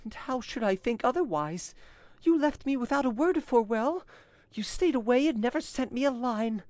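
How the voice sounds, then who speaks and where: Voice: medium pitch, lively intonation, moderate pace, a little mellow, no room sound, pitched above the performer's own voice, close to an everyday voice. A narrator voicing a frightened young Frenchwoman who pleads with theatrical, imploring urgency in an audiobook story. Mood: frightened pleading.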